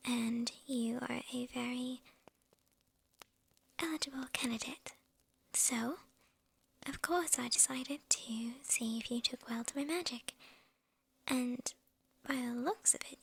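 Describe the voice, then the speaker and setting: Woman playing a slow, whispering, possessive witch. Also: high voice, full character voice, poor audio. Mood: smug, explaining. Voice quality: breathy, mostly whispered, creaky. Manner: unhurried, coy, amused, addressing the listener intimately. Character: lonely, possessive young witch, slyly menacing and teasing. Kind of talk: whispered ASMR roleplay.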